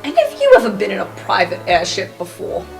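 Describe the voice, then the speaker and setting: A woman's voice: medium pitch, wildly swooping pitch, fast talker, gravelly. A woman taking part in an improvised tabletop role-playing game, talking casually. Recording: music behind the voice. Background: background music.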